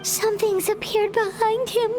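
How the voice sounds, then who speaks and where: An actress playing a tiny, excitable, childlike fairy companion in a game, squeaky and exclamatory. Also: female voice, normal speed, music in the background, low-quality recording. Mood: startled. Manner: exclamatory, refers to self by name. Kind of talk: video game voice acting.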